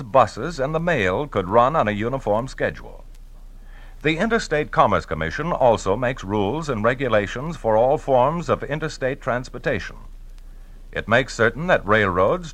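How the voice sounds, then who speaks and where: Male announcer, 1950s radio, formal scripted delivery, mid pitch, very varied intonation. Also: a bit bright. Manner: formal, rhetorical. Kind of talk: scripted 1950s radio public service narration.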